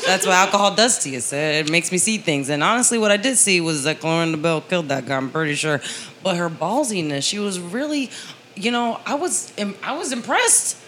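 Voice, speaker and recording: A woman's voice: on the low side, lively intonation, a little fast, fairly bright tone, a little hiss or hum, voice pushed down. Woman playing a boozy, rambling, lovelorn police captain. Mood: confessional. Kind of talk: improvised radio-play parody.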